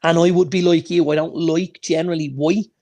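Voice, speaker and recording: Guy with a fairly high voice chatting sport on a podcast, keen and emphatic, says things twice. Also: fairly even intonation, fairly quick, darkish tone. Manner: enthusiastic, emphatic, repeats phrases for stress. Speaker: podcast co-host. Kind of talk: casual chat.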